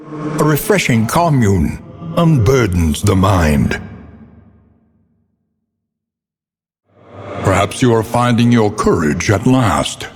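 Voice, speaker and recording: An actor playing a grave, world-weary older male narrator of a gothic horror game, solemn, ominous and florid in his theatrical delivery. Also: on the low side. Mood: solemn, resolute. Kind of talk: theatrical scripted dark fantasy narration. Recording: long cavernous reverb.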